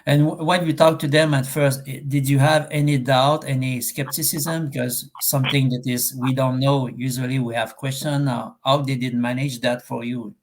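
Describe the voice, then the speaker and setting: A man from Quebec with a French-Canadian accent, French as his first language, interviewing a guest in a live online chat, pausing often with fillers and restarts. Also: medium pitch, level tone. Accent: Québécois French. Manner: hesitant, many fillers and restarts.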